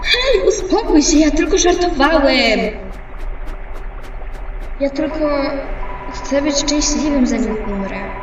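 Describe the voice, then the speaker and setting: A woman's voice: sits high, lively intonation, takes it slowly, pauses often, lo-fi sound. A woman in an amateur fan dub voicing a scheming, falsely sweet and menacing double, with taunting, dramatic delivery. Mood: pleading. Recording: very echoey, distant, music underneath.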